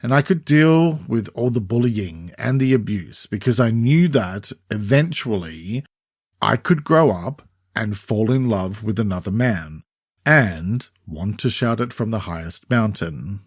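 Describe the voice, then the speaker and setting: A middle-aged man with an Australian accent hosting a scripted solo podcast in a measured, deliberate, conversational way. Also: on the low side, lively intonation, no echo, studio quality. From Sydney, Australia. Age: 40s or 50s. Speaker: podcast host, mental health counsellor.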